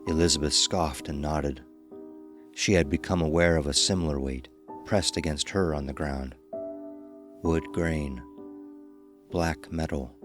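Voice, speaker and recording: A man narrating a scripted horror story. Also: extremely low pitch. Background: faint music.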